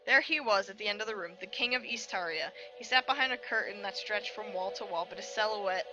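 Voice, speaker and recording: A woman's voice: mid-range voice, a little fast, poor audio. A woman hosting a game preview, reading visual novel text aloud expressively and adding chatty, reactive asides. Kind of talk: narration of visual novel text with casual commentary. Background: game music.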